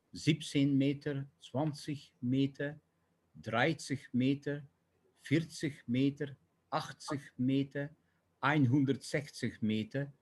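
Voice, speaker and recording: A man's voice: mid-range voice, a little mellow. A man learning a language, reciting practice phrases in a list.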